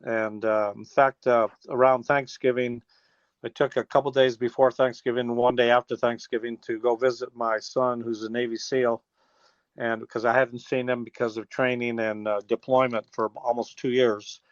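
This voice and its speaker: A man's voice: medium pitch, little pitch movement, normal speed, no echo. Folksy older American man on a dull phone line. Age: 60s. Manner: folksy, rambling with trailing restarts. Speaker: interview guest, teacher and former air traffic controller. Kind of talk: casual phone interview, reminiscing. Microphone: telephone.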